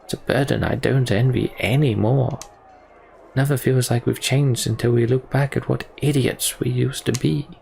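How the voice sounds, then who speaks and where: Man reading as a young game prince, gravelly, candid, measured, faint noise behind. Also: mid-range voice, fairly even intonation, darkish tone. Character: candid, disillusioned young prince renouncing his crown. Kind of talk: voiced game dialogue reading.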